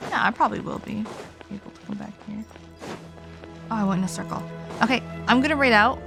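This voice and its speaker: A woman's voice: fairly high pitch, fast talker, vocal fry. Tired woman streamer rambling over game music. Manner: rambling, informal, trailing off. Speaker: live streamer. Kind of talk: casual livestream chat while gaming.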